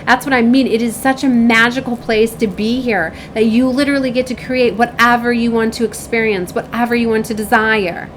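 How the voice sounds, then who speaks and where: A woman with a fairly high voice speaks informally to her viewers in the role of a spiritual reader. She rambles in an effusive way and keeps tagging her thoughts with little checks for agreement. Some light noise sits behind her voice. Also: fairly even intonation, fairly quick, few pauses. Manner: effusive, rambling, often seeking agreement with tag questions. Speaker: spiritual reader and self-described shaman.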